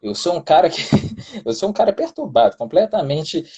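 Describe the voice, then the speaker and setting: A man from Brazil speaks as a guest lawyer over a phone on a live stream. His voice is husky and mellow, and he rambles in a wordy, joking, self-mocking way. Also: high voice, very animated, normal speed. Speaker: criminal defense lawyer, guest. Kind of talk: casual live-stream interview.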